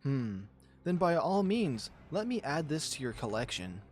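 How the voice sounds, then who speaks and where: A man's voice: fairly high pitch, very animated, measured pace, pitched above the performer's own voice. A man voicing a mischievous, savage lioness warrior in a visual novel, with mocking, theatrical delivery. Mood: menacing. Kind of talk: visual novel character acting.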